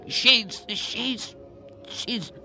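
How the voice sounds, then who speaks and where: An actor in a radio drama playing a kindly, anxious, bumbling guardian angel with an earnest, moralizing manner. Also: male voice, very high voice, expressive, very slow, pushed and bright, faint music behind, exaggerated voice. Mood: reluctant, fretful.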